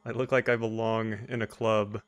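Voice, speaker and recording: A man's voice: fairly low pitch, flat delivery, normal speed. A man giving casual, rambling gameplay commentary as a let's play host, with wry asides. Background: faint game music.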